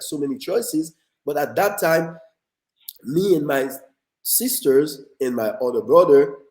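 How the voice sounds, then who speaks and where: A man's voice: medium pitch, dark tone. A man from Haiti with a Haitian accent, a guest on an interview show earnestly telling his life story, often repeating words as he goes. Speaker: guest, motivational speaker. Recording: echoey room.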